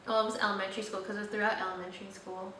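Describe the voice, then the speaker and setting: A woman's voice: mid-range voice, flat delivery, rapid-fire, pushed and bright. A young woman hosting her vlog, chatting casually and rambling with lots of fillers. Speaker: vlogger host. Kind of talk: casual chat. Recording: echoey room, slightly distant mic, faint noise.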